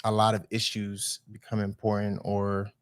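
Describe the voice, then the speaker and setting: A man's voice: on the low side, monotone, normal speed, smooth. A man from Jamaica, co-hosting a casual live podcast chat. He talks candidly and proudly, swears freely and often restarts his sentences. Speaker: co-host.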